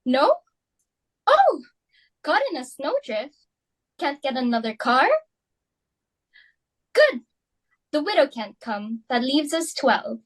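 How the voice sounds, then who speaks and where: A woman's voice: high-pitched, big pitch swings, slow, long pauses, full character voice. A young woman in an amateur dramatic reading, playing a fussy, status-hungry society hostess and mother in a flustered, theatrical way. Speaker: student actor.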